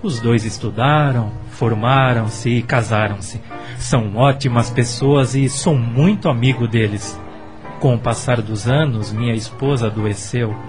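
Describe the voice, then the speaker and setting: An actor playing a gentle, lovestruck middle-aged widower in a radio soap, speaking earnestly and courteously. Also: male voice, medium pitch, a little slow, music in the background, natural voice. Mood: reflective, wistful. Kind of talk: Brazilian radio soap acting.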